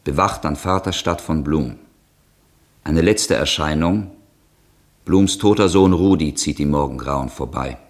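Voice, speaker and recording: An actor playing a solemn announcer who introduces scenes in a scripted radio play. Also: male voice, a bass voice, measured pace. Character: solemn male announcer of dramatic stations.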